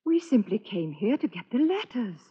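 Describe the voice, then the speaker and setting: A woman's voice: a little high, sing-song, moderate pace, mellow, slightly rough. A woman playing a distraught character confessing, in a 1940s radio crime drama. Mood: urgent confession. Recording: dull old radio recording.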